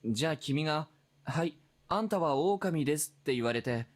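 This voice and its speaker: A game investigator, lively pitch swings, talks quick, polite but keeps asking questions. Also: male voice. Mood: pressing. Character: adult male investigator, probing, apologetic and polite. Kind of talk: Japanese visual novel voice acting.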